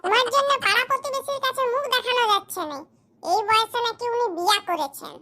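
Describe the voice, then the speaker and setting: A performer voicing a squeaky, indignant young man in a comedy cartoon, bickering. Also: lively intonation, fast, pushed and bright, heavily acted. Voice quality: squeaky, artificially high voice. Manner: scolding, bickering, rhetorical questions. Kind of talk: exaggerated comic cartoon dialogue in rural dialect.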